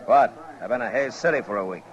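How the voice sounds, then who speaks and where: A man playing a calm, laconic frontier marshal in 1950s radio western style, speaking in a terse, understated way. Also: mid-range voice, fast talker, close to an everyday voice. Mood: concerned. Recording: dull, noisy old radio recording.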